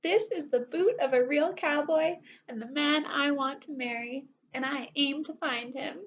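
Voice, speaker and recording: A woman's voice: sits high, unhurried, lo-fi sound, background noise, pitched above the performer's own voice. A woman voicing a bold young cowgirl rancher with folksy, exclamatory talk in a storybook read-aloud. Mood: determined.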